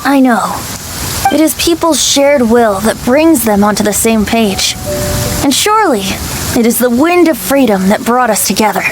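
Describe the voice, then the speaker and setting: A woman's voice: on the high side, expressive, moderate pace, over music, natural voice, low-quality recording. A woman voicing a youthful, whimsical bard boy in a video game, airy and teasing. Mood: gentle, earnest.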